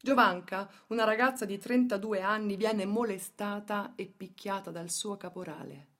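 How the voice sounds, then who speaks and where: A woman delivering dramatic scripted documentary narration for radio theatre, measured and emphatic, setting phrases apart with pauses. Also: mid-range voice, a bit bright.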